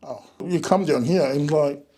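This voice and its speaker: A man from Samoa, speaking as a guest in a relaxed, plain-spoken interview chat. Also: fairly high pitch, moderate pace, husky. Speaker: events and marketing manager, guest.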